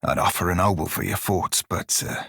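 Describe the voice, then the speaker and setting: A gruff pirate shiphunter from a fantasy video game, with a rough, husky voice on the low side. He talks in archaic sailor dialect with a wry swagger and a loyal streak. The sound is close and dry. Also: fairly quick, sharp tone, dry recording, heavily acted. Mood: wry, guarded. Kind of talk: video game character voice lines.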